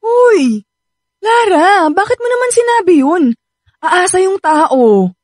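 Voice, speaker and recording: A woman's voice: very high voice, very animated, slow, darkish tone, no echo, studio quality, exaggerated voice. An actress playing a defensive young woman torn in love, with a Filipino accent, in a radio drama. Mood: upset, defensive. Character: young woman daughter torn between two loves, defensive.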